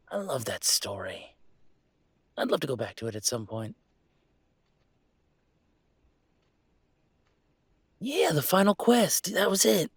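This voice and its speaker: A man's voice: mid-range voice, husky, no room sound. Man acting a wry young werewolf heir, dry close recording. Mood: wistful. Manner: rambling, conversational, wry joking. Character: restless young male werewolf pack heir, wry and wistful. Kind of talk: intimate audio roleplay acting, one side of a conversation.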